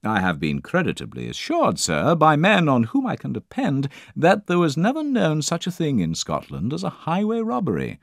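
A man's voice: a little high, huge pitch swings, normal speed, vocal fry, few pauses, dry recording, clean studio sound, voice pushed up, full character voice. A man voicing a pompous traveller with an emphatic, admiring and insistent way of talking. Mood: effusive.

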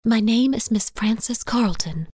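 A woman's voice: a little high, slow pace, darkish tone, slightly rough, dry recording, studio quality, voice pushed up. A woman voicing a petite, defiant and evasive young woman in an audiobook story. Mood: indignant, evasive. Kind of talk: audiobook character dialogue.

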